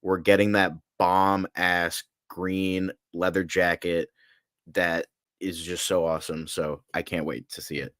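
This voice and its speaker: A male co-host with a deep voice talks in a casual, fannish style. He is enthusiastic and rambles, circling back to repeat his points. The sound is dry, with no room echo. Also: little pitch movement, a little slow, a bit bright. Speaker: podcast co-host. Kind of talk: casual fan chat.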